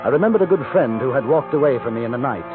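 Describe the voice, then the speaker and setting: An actor playing a composed, wry English former cavalry captain, measured and articulate, in a 1950s radio drama with a British accent. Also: male voice, mid-range voice, animated, narrow, tinny audio, lightly acted. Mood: reflective, watchful. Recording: band-limited vintage radio recording with music.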